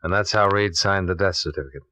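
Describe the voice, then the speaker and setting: Hard-boiled, bright-edged radio detective. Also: male voice, deep voice, fast talker. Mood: quiet, probing. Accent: American. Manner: clipped, probing, blunt interrogation. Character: hard-boiled, methodical male insurance investigator, relentless and cool. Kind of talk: 1950s radio drama acting. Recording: dull vintage radio recording.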